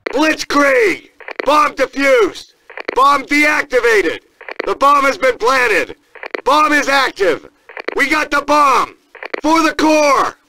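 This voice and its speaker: An actor playing a fierce American Marine sergeant, barking commanding announcements in a military video game. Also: male voice, very high-pitched, lively intonation, slow, sharp tone, slight background noise, heavily acted, rough recording. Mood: urgent, fired up. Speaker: multiplayer announcer.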